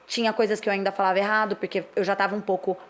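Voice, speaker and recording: A Brazilian woman in her thirties talks in a relaxed, candid way, thinking aloud in long reflective stretches. Her voice sits at a middle pitch, and she co-hosts a casual chat. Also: racing. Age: 30s. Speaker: co-host.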